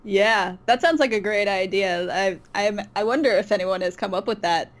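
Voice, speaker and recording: A woman's voice: mid-range voice, normal speed. A woman being interviewed, telling personal stories casually in loose, somewhat hesitant wording. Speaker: interview guest, YouTube voice artist. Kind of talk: casual chat, personal anecdote.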